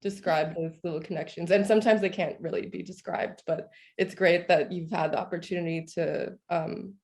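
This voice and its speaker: Young woman moderator with gravelly low voice. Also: steady tone, normal speed, darkish tone. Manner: casual, thoughtful, filler "like". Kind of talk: panel moderation.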